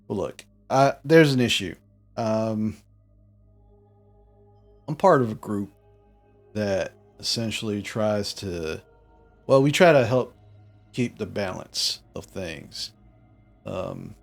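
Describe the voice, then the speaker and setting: A guarded middle-aged man , as a male game master puts him on talks low and measured, weighing every word before he offers help. His pitch sits in an ordinary middle range. Soft music plays faintly behind him. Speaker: non-player character.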